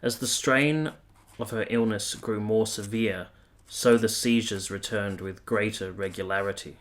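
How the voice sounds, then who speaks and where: Actor as earnest low-voiced army captain. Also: male voice, steady tone, a little slow, close to an everyday voice. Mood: solemn, reflective. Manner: articulate, literary, earnest. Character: earnest, candid young army captain, reflective war veteran. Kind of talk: radio play narration and dialogue.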